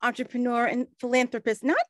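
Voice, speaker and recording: Proper, sincere 1920s woman actress, slightly high, careful explanatory delivery, dry sound, period radio play. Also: little pitch movement, fast talker, fairly bright tone, dry recording, lightly acted. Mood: modest, amused. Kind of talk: 1920s period audio drama acting.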